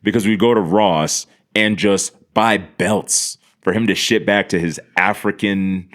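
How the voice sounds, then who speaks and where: Deep-voiced man swapping slangy stories. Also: measured pace. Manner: profane, slangy, animated exaggeration. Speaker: podcast guest. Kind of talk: casual comedic storytelling.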